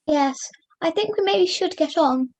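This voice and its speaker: A woman's voice: very high-pitched, measured pace, a little mellow, lo-fi sound. A young woman acting a polite, flustered puppet who chairs a meeting in an amateur puppet show. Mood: polite, firm.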